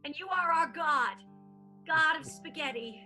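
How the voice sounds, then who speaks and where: A woman's voice: high voice, lively intonation, a little slow, pushed and bright, soft background music, full character voice. A woman playing an adoring, lovestruck vaudeville bride in an improvised radio play, sweet and devoted. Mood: eager, adoring.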